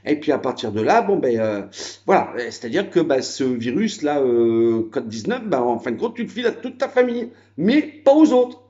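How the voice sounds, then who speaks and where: A man's voice: medium pitch, big pitch swings, normal speed, mellow, dull audio. A man from France chatting casually at his country home on a phone video, rambling with many fillers. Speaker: vlogger. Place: house in the countryside.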